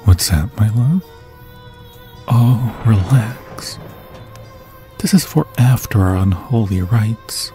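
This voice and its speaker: Slow, sinister ghost lover acted by a man. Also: fairly low pitch, mellow, soft background music. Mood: tender, sinister devotion. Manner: theatrical, slow, archaic solemn phrasing. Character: obsessive undead ghost lover, male, menacing, tenderly possessive. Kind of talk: ASMR fantasy roleplay acting.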